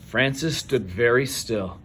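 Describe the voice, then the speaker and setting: A man reading a children's story aloud, with measured delivery and dramatic pauses. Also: medium pitch, bright, forceful tone, slight background noise. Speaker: storybook reader. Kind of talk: expressive children's read-aloud narration.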